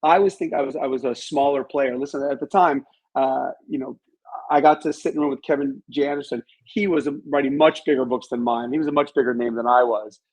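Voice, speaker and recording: A man's voice: mid-range voice, fairly even intonation, fast, a little mellow, rough recording. A man speaking as an author being interviewed, telling stories in a modest, reflective and conversational way. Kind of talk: conversational storytelling.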